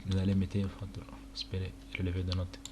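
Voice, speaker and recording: Young male tutor from Chad, first language Arabic, deep flat delivery, halting and casual, noisy home recording. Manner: casual, halting, many fillers. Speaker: tutorial presenter. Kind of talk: screen-recorded walkthrough. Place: indoor room at a computer.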